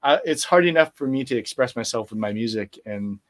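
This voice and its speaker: Relaxed rambling man in conversation. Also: mid-range voice, fairly quick. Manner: relaxed, rambling, many fillers and restarts. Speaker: guest musician. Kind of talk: casual interview conversation.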